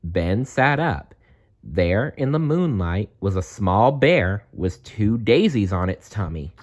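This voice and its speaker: A man's voice: on the low side, very animated, slow. A man reading a children's story aloud as a storyteller.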